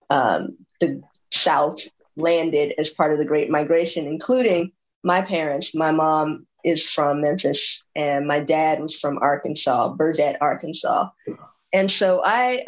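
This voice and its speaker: Author on a panel: woman from Chicago, lowish voice, pauses and restarts as she thinks. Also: rough recording. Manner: thoughtful, many ums and restarts. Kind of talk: panel discussion.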